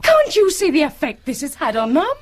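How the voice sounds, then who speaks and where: A British actress playing a young, concerned, outspoken daughter in a radio drama, soothing yet pointed. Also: female voice, high voice, wildly swooping pitch, a little fast. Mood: reproachful.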